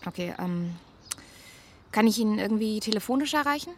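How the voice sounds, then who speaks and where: An actress playing an awkwardly friendly police officer in a naturalistic radio drama, questioning gently and hesitantly. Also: female voice, medium pitch, animated, moderate pace, a little hiss or hum, lightly acted. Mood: polite, probing.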